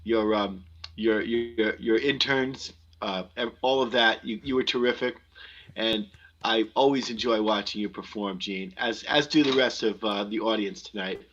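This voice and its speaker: A man hosting an online show, speaking casually and haltingly, often repeating himself. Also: on the low side, fairly bright tone. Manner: halting, repetitive delivery. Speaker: host of an online concert. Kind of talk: casual unscripted thanks to a performer. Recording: dull, lo-fi online stream audio.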